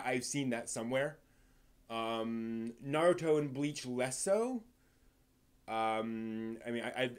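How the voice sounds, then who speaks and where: An American man hosts a casual live stream in a mid-pitched voice. He talks in a halting, rambling way, thinking aloud as he responds to viewers. The delivery is conversational and unscripted. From East Coast of the United States. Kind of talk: casual livestream chat, answering viewer questions.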